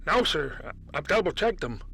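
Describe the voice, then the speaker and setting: An American actor playing an anxious, respectful young-officer type in a 1950s radio drama, hesitant and deferential. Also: male voice, on the high side, sing-song, moderate pace, creaky, bright, forceful tone, breathy edge, full character voice. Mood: uneasy. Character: anxious, respectful male lieutenant engineer.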